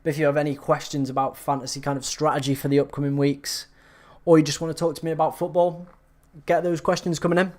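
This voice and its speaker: A man hosting a live stream, chatting casually and reacting to viewer comments, his voice slightly hoarse from hours of talking. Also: fairly high pitch, level tone, quick pace, a little mellow.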